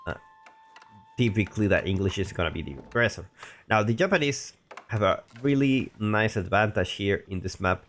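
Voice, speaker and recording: A man casually commentating a strategy game match, a little sick and talking in a rambling, chatty way. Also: fairly low pitch. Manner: rambling, chatty, frequent restarts and asides. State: a little sick, voice deeper than usual. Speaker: game caster.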